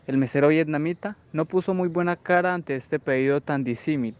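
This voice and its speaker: Man acting a clear-voiced, aggrieved soldier. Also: fairly high pitch, fairly quick, a little mellow, lo-fi sound, lightly acted. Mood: aggrieved, recounting. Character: aggrieved American army sergeant recounting a bar visit. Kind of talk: radio drama narrative monologue.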